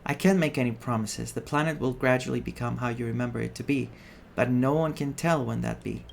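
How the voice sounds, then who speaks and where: A man streaming a story game, reading dialogue aloud and adding his own expressive reactions. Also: medium pitch, brisk, vocal fry, slight background noise. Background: game music.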